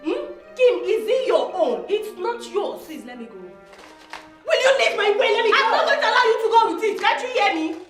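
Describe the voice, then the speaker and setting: A woman's voice: very high-pitched, big pitch swings, moderate pace, few pauses, mellow, faint music behind. A young woman with a Nigerian accent playing a bubbly, quarrelsome live-streamer sister in a screen drama. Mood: combative. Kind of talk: naturalistic screen drama acting.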